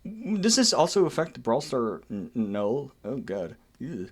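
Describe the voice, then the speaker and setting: A man's voice: mid-range voice, big pitch swings, slow pace, slightly rough. A man reading a document aloud as a content creator, breaking off for irreverent, sweary, theatrically indignant asides.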